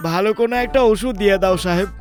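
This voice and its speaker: Cartoon patient, male actor: high pitch, whining complainer in discomfort, music under the voice. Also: very fast, close to an everyday voice. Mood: whining, pained. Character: suffering male village patient, whining and urgent. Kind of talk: cartoon dialogue.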